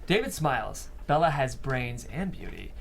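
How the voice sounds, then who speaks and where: A man's voice: mid-range voice, sing-song, normal speed, vocal fry, fairly bright tone, slight background noise. A man reading stage directions as narrator at a script table read.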